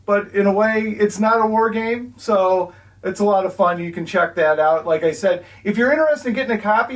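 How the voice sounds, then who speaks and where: A man hosting a board game review show, talking casually and loosely as he opens and closes the episode. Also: sits high. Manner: easygoing, loose, hedging with fillers. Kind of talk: casual on-camera review intro and wrap-up. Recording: voice picked up from a distance.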